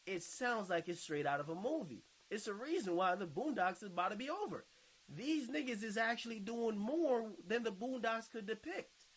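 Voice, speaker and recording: A man's voice: high-pitched, lively intonation, moderate pace, poor audio. A man giving casual reaction commentary in a blunt, mocking, slangy way, as if talking straight to his viewers.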